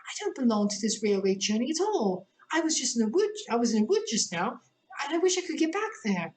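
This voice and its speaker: A woman's voice: fairly high pitch, very animated, brisk, a little mellow, slight background noise, pitched above the performer's own voice. A woman playing a prim, impatient young girl. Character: young girl Alice, polite but impatient.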